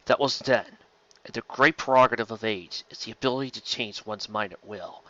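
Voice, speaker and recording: Older alien mentor, done by a male narrator: stern, measured, authoritative, with a forceful edge, for storytelling. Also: fairly low pitch, lo-fi sound, close to an everyday voice. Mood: chiding, measured.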